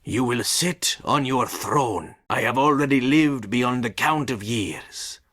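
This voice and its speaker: Casting: grandiose mythic ruler of the dead, forceful bright voice, threatening, for a game. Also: male voice, mid-range voice, lively intonation, takes it slowly. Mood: commanding. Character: imperious, menacing king of the underworld, easily enraged. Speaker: actor performing game voice lines. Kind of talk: theatrical mythic stage drama acting.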